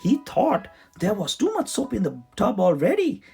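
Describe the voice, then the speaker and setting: A man reading a children's story aloud in an expressive, dramatic storytelling manner. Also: high voice, normal speed, breathy edge. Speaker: storybook reader. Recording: music behind the voice. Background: music.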